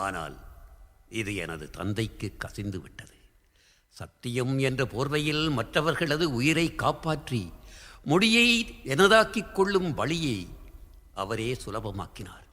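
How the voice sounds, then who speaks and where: A man's voice: mid-range voice, very animated, unhurried, a bit bright. An actor playing a proud, guilt-ridden king in a historical radio drama, declaiming formally. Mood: defiant. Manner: declamatory, formal classical diction. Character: proud, guilt-ridden Tamil king confessing to his queen. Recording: long hall-like reverb.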